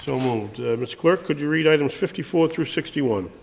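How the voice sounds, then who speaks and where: Man, council president, gravelly voice, somewhat high pitch, reverberant room. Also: expressive. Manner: brisk procedural phrasing. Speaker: council president chairing the meeting. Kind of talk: formal chairing of a council meeting. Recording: reverberant chamber with faint hiss.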